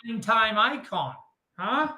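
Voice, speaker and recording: A man's voice: high-pitched, animated, a little slow, pushed and bright, echoey room. A man from Wales joking and chatting enthusiastically as a panelist. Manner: jokey, enthusiastic.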